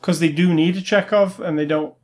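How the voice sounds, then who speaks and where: A man's voice: fairly high pitch, fairly even intonation, brisk. A man co-hosting a casual film review chat, giving blunt, informal opinions.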